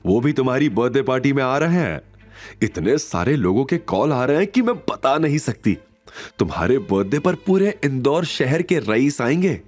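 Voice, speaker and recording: A man's voice: medium pitch, big pitch swings, normal speed, a little mellow, soft background music. A man playing an eager, status-conscious middle-aged mother with a chatty, gushing manner in an audio drama. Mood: excited, proud.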